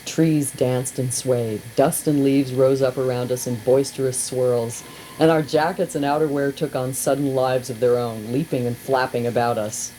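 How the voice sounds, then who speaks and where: A woman narrates a humorous memoir in a very low, mellow voice. Her storytelling is expressive, wry and deliberate. It sounds like an amateur cassette recording with faint noise. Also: poor audio. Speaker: radio personality reading aloud.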